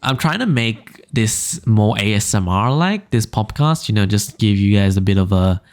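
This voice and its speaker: Smooth-voiced young man reading casually. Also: normal speed. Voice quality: smooth low voice. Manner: relaxed, rambling, frequent fillers and little laughs. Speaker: podcast host. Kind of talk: casual podcast reading stories aloud. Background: faint background music.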